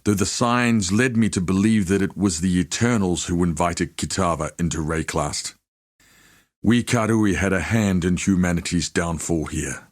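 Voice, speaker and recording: A low-voiced, proud warrior of a tribe, played by a man for a video game. He is solemn and devout, bound by honour. Every phrase is delivered gravely and deliberately, like formal oratory. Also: lightly acted. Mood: brooding, grave. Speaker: video game character voice actor. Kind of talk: scripted dramatic game dialogue.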